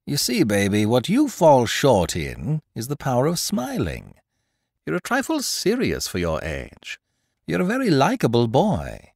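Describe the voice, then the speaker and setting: A man voicing a teasing, boastful gentleman thief in an audiobook, mocking and theatrical, full of condescending rhetorical questions. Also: mid-range voice, huge pitch swings, a little slow, gravelly, breathy edge, no room sound, studio quality, pitched above the performer's own voice, exaggerated voice. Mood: jubilant mocking. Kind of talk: dramatised audiobook character dialogue.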